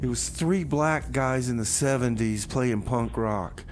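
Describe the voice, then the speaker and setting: A man's voice: mid-range voice, unhurried, a little hiss or hum. A man hosting a radio music show, easygoing and chatty as he back-announces songs. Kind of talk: casual radio banter.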